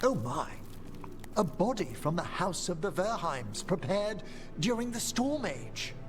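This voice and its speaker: A man's voice: sits high, sing-song, measured pace, faint music behind. A man voicing a courtly, scholarly older necromancer in a video game, speaking formally and theatrically. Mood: courteous. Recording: game audio with clear ambient noise.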